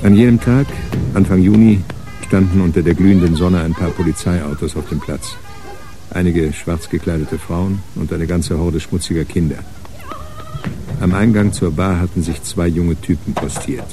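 A man acting as the measured narrator of a hard-boiled crime radio play. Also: sits low, steady tone, over music, close to an everyday voice. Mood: measured, narrating. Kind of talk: scripted narration in a radio drama.

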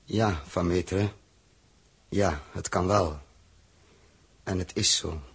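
A man acting a grave, reluctant spaceship captain in 1960s radio drama, terse, halting and sombre. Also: deep voice. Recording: noisy old radio recording.

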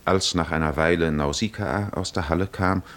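A man narrating a 1950s German radio drama as a measured, solemn literary storyteller. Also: low-pitched, a bit bright. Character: solemn male storyteller of an epic.

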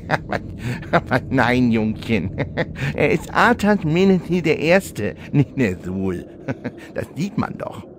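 An actor playing a gleeful, sly old villain's sidekick, patronizing and given to chuckling, in a comic radio play. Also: male voice, mid-range voice, sing-song, background noise. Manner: chuckling, patronizing, drawn-out delivery.

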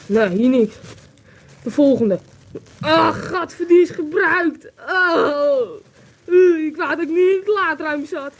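A high-pitched, whiny searcher, acted by a woman in an amateur radio adventure. The delivery is excitable and full of exclamations. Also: takes it slowly. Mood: whining, disgusted. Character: whiny, disgusted, excitable searcher aboard a plane. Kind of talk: amateur radio play acting.

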